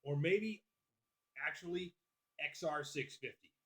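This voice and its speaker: A man's voice: on the high side, a little slow, a bit bright. Profane, chatty man hosting a livestream. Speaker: livestream host. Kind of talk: casual live-stream chat, reading out viewer comments.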